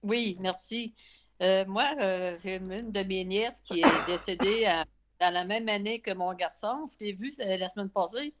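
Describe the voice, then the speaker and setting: A woman from Canada with a Québécois accent, a caller on a video call telling her story in a halting, plain-spoken way. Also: medium pitch, a little hiss or hum. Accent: Québécois French.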